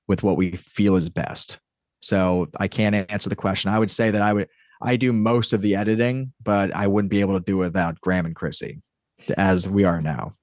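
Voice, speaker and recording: Low-voiced man chatting as podcast guest. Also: no echo, studio quality. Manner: rambling, explanatory, self-interrupting. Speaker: podcast guest, audio drama creator and writer. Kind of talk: casual interview chat.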